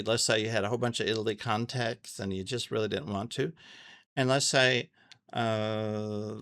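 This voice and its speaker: A man giving a casual, unscripted tutorial, thinking aloud haltingly and repeating phrases as he goes. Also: on the low side, gravelly, a bit bright, no echo, studio quality. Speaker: amateur radio tutorial host. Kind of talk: casual unscripted screen walkthrough.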